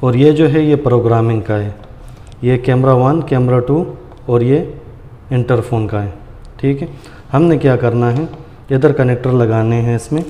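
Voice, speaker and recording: Mellow man in an echoey room, tutorial. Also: medium pitch, moderate pace, pauses often. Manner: explains step by step, addressing viewers as friends. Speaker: tutorial host. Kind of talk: casual hands-on installation tutorial. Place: indoors in a tiled room, hands at a granite counter. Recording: echoey hard-walled room, noisy.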